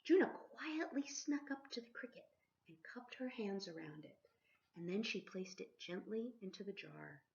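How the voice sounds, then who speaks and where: A woman reading a children's picture book aloud expressively, like a teacher, pausing to ask her listeners questions. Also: mid-range voice, lively intonation, creaky, a little mellow. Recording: dull lo-fi sound.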